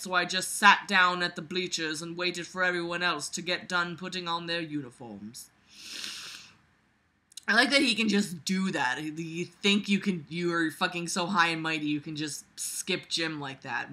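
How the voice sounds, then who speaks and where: A woman's voice: on the low side, moderate pace, sharp tone. A woman reading a novel aloud and breaking in with exasperated, sarcastic, foul-mouthed commentary. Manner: exasperated, sarcastic, swearing freely. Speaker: book reader and commentator.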